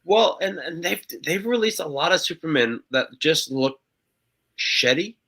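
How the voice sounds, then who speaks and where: Nasal, bright-voiced man, swearing casually. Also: fairly high pitch, a little slow. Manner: animated, swears often, stammering restarts. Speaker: podcast co-host. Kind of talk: casual chat.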